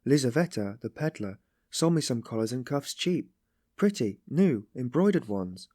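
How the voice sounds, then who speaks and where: A man's voice: mid-range voice, big pitch swings, normal speed, warm tone, no echo, studio quality, voice pushed up. A man voicing an anguished, meek but passionately compassionate young woman, pleading in breathless exclamations and repetitions for an audiobook story. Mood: tearful.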